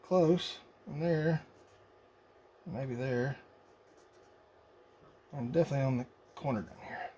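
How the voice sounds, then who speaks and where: A man's voice: mid-range voice, slow pace, long pauses, dark tone. Man muttering through a noisy workshop build. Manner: muttering, thinking aloud while working. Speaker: hobbyist radio builder. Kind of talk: casual how-to workshop narration. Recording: noisy workshop recording with clicks.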